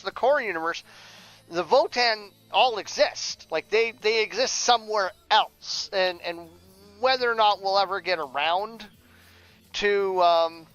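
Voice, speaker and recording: High-pitched, rambling man on a livestream. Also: fairly bright tone, clear, resonant tone. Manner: rambling, repeats words mid-sentence. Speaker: streamer and audio drama writer. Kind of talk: casual livestream chat. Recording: dull lo-fi sound, music behind. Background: music playing.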